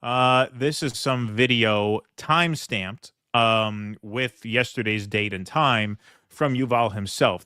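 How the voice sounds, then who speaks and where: A man's voice: on the low side, a bit bright, no room sound, studio quality. A man hosting a political livestream, reading news articles aloud with casual asides, many fillers and indignant remarks. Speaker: political commentary host.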